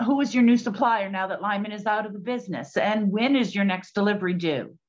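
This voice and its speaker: A woman playing a brisk, no-nonsense 1920s lady detective in an audio drama. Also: mid-range voice, highs cut off, close to an everyday voice. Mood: delighted, probing.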